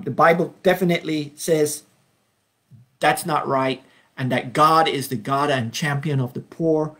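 A man in his fifties from Belize leading an informal Bible study over a webcam at home, speaking earnestly and unhurriedly with frequent ums, slowing down to read verses. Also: mid-range voice, fairly bright tone. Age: 50s. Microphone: webcam, video call framing.

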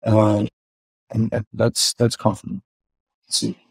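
A man's voice: on the low side, flat delivery, a little slow, creaky, a little mellow, slightly rough, no room sound. A man in his forties with a mixed Iranian and Swedish accent, speaking hesitantly with many fillers on a video call interview. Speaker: car filmmaker guest. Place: home room indoors. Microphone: video call webcam.

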